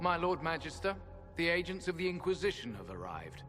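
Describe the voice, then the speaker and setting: Game herald: as haughty, formal servant, lively pitch, echoing hall, strong music. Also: male voice, brisk. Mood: ceremonious. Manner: officious, formal. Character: haughty, formal male castle attendant. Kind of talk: dramatic game cutscene acting.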